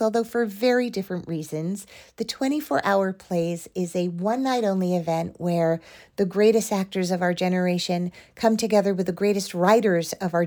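A woman's voice: lowish voice, darkish tone. A woman hosting an interview podcast, introducing things in an enthusiastic, effusive manner. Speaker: podcast host.

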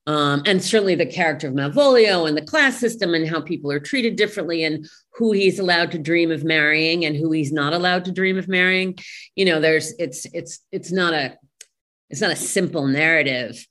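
A woman with a low voice speaks as an interview guest. She is thoughtful and halting, often searching for the right words as she explains her view. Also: a bit bright. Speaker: interview guest, play translator.

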